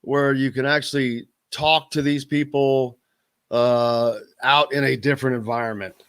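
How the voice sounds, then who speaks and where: A man's voice: mid-range voice, steady tone, a little slow, a bit bright, smooth. Joking, rambling male sports radio host. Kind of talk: casual sports radio chat.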